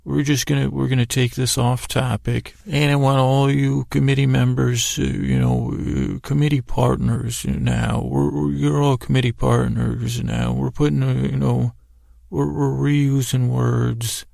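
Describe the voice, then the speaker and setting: A man with a creaky, gravelly voice hosting a bedtime podcast, telling a meandering monologue with halting, stammered, repeated words. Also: medium pitch, flat delivery, a little mellow, dry recording, studio quality. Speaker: podcast host. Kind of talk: rambling bedtime sleep-story monologue.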